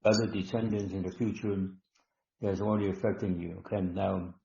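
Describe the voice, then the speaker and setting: Low-voiced guy running a healing webinar, slow and repetitive, echoey dull call audio. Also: steady tone, creaky, warm tone. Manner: slow, instructive, repeats words, many ums. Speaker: webinar host and healer. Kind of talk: live stream healing session.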